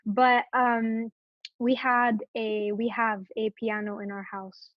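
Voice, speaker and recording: A woman's voice: on the high side, flat delivery, slow pace, no echo, muffled recording. A young woman from Morocco, a guest talking casually in an interview and hesitating often with fillers and restarts. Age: young adult.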